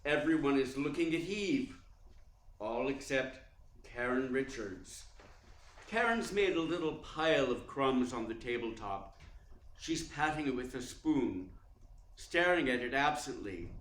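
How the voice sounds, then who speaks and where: A man playing a slow, wry theater critic. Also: medium pitch, very animated, fairly bright tone, a little hiss or hum. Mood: sardonic, musing. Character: cool, wry male theater critic narrating. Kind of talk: radio play narration. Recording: echoey room.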